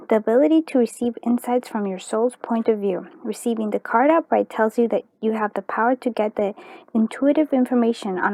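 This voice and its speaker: A woman hosting a casual tarot card reading for viewers, chatty and informal, often pausing to think aloud. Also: mid-range voice, a little mellow, a little hiss or hum, low-quality recording. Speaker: tarot card reader and channel host.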